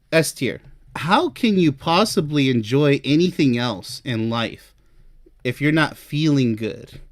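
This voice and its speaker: A man's voice: fairly high pitch, no echo, studio quality. A man giving informal relationship advice as a host, chatty and emphatic, speaking straight to the listener. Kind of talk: informal advice talk to camera, ranking topics.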